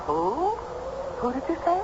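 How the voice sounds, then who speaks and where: A homely, matter-of-fact woman of a bygone century, talks in folksy old-time phrases. Her pitch rises and dips freely. The recording is an old, noisy drama broadcast. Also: big pitch swings, unhurried, lo-fi sound. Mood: blunt, surprised. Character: plain-spoken, blunt, homely woman householder of old Boston. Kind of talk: 1970s American radio drama acting.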